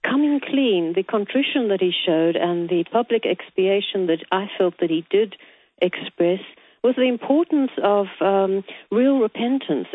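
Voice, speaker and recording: A woman who is a guest columnist giving opinions in a radio interview, hesitant and full of ums but emphatic. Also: mid-range voice. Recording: dry phone-line audio.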